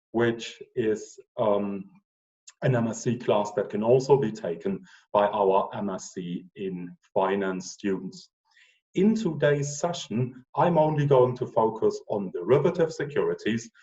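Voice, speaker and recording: A man's voice: fairly low pitch, distant mic, lo-fi sound. A man in his forties with a German accent, a professor giving a casual online lecture with frequent ums. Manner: relaxed, chatty, with frequent ums.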